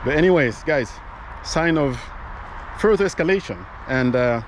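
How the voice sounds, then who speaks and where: A man's voice: fairly high pitch, animated, moderate pace, a little hiss or hum, low-quality recording. A man giving casual political commentary as host, with many fillers and restarts, speaking English as a non-native speaker. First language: not English. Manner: conversational, frequent fillers and restarts.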